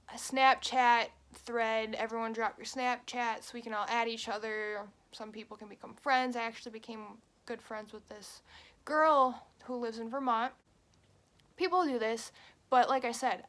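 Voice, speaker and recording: A woman's voice: on the high side, fairly bright tone. A woman in her twenties telling a story in a casual, chatty vlog, with lots of fillers. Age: 20s. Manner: chatty, quick, many fillers. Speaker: vlogger. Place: at home.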